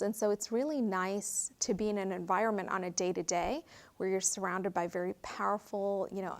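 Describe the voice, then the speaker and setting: An earnest woman in her thirties from Fiji talks through her work as an attorney in a relaxed interview. Her pitch sits in an ordinary middle range, and she speaks reflectively, pausing often with "um" and "you know" as she gathers her thoughts. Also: gravelly. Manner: earnest, reflective, frequent fillers. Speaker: guest, real estate attorney. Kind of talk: interview conversation.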